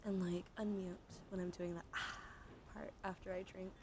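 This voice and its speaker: A woman live streamer chatting casually in an ASMR style, soft-spoken and often slipping into breathy whispers, close to the microphone. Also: medium pitch, flat delivery, normal speed, noisy background. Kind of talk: casual ASMR livestream chat.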